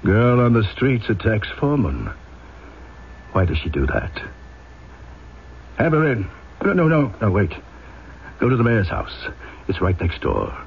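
An actor playing a cold, stern, scheming police inspector in an old-time radio drama. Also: male voice, fairly low pitch, big pitch swings, unhurried, long pauses. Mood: scheming, brisk. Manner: clipped, sardonic, domineering. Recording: dull, noisy old radio recording.

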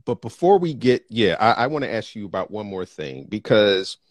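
A man hosting an interview show, asking questions in an expressive, enthusiastic way. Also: fairly low pitch, moderate pace, dry recording, clean studio sound. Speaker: podcast host. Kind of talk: interview conversation.

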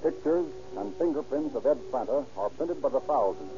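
A man's voice: mid-range voice, lively intonation, normal speed. A man giving formal, matter-of-fact scripted narration in an old radio crime drama. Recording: dull old radio recording with music.

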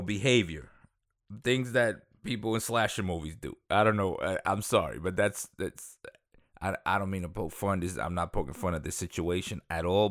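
Rambling low-voiced true crime storyteller, a man. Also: a bit bright, dry recording, studio quality. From Dominican Republic, raised in Queens. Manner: rambling, full of fillers and self-corrections, chuckles aside. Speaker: true crime podcast host. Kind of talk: casual storytelling.

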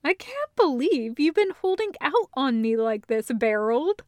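A woman's voice: sits high, very animated, a little slow, darkish tone, dry recording, studio quality. A woman reading the part of a playful, slangy woman with a casual, exclamatory, skeptical teasing manner. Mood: amused.

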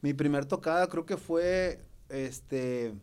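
A middle-aged man with a Mexican Spanish accent, a podcast guest telling stories in slangy, halting speech full of fillers. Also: on the high side, darkish tone, smooth. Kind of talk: casual podcast chat.

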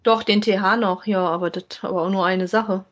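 A woman's voice: mid-range voice, fairly even intonation, brisk. A woman chatting casually as a podcast co-host, making brief, plain remarks. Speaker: podcast co-host reviewing audio dramas. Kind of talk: casual chat, reviewing audio plays.

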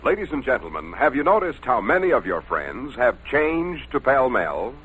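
A husky-voiced man delivering a 1940s style radio advertising pitch on a dull old recording. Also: sing-song, normal speed, a bit bright. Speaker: commercial announcer.

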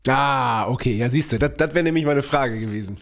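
A man hosts a relaxed podcast chat. He talks in a loose, friendly way, stumbling over his own words with lots of fillers and laughing easily. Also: mid-range voice. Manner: chatty, many restarts and fillers, quick to laugh. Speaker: podcast host. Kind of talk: casual interview chat.

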